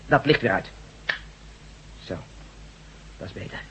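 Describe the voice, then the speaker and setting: A man's voice: measured pace, pushed and bright. A man playing a hardened, distrustful resistance fighter, blunt and sarcastic, in a science-fiction radio drama. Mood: grim. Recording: dull old radio recording, faint noise.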